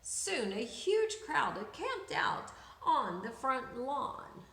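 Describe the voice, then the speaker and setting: Slow, high-voiced woman reading a storybook. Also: sing-song, gravelly, fairly bright tone. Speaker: children's story reader. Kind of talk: expressive picture-book read-aloud. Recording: echoey room.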